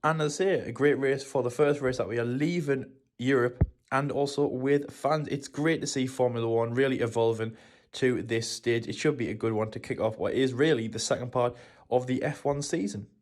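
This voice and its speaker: A man co-hosting a motorsport show, giving a steady race preview full of "of course". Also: medium pitch, normal speed. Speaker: co-host.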